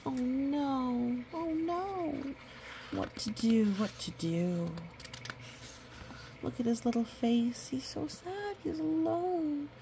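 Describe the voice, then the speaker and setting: A woman reading a picture book aloud to a young child, animated and repeating words for emphasis, with explanatory asides. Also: high voice, slow, a little hiss or hum.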